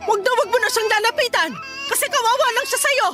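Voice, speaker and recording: A woman's voice: very high voice, quick pace. A woman playing an exasperated, protective grandmother in a radio drama, scolding and admonishing. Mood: reproachful. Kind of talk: Tagalog radio drama acting. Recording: music under the voice.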